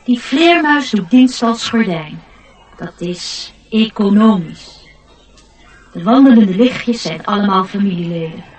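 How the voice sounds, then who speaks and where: A woman's voice: mid-range voice, animated, unhurried, darkish tone, breathy edge. A woman playing a courteous, proud glow-worm in mourning, formal and wistful, in a radio play. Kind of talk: Dutch radio play acting. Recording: distant-sounding radio play recording.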